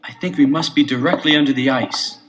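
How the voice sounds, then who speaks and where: An actor playing an eager, excitable teenage boy detective, the questioning younger sidekick in a scripted audio adventure. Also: medium pitch, fairly quick, close to an everyday voice. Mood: eager, curious. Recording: 1970s LP radio-play, faint music.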